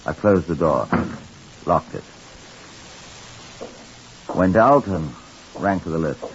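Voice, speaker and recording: A man narrating a mystery in the first person as the detective, with dry, measured storytelling in old-time radio style. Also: deep voice, animated, full character voice. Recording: muffled old radio recording with hiss.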